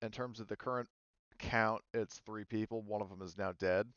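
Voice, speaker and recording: A man's voice: lowish voice, steady tone, normal speed, fairly bright tone, clean tone, dry recording, highs cut off. A man narrating as game master, rambling with many fillers and restarts. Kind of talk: narrating a tabletop game, casual.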